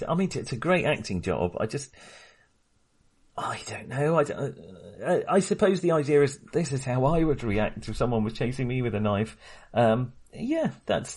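A man co-hosting a film podcast in casual discussion, talking haltingly and often correcting himself. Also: mid-range voice, a little mellow, husky. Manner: hesitant, halting, self-correcting.

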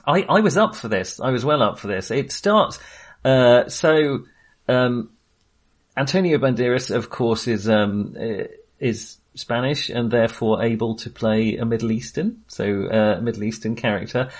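A man hosting a casual film discussion, halting, informal and self-deprecating. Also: medium pitch. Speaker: film podcast host.

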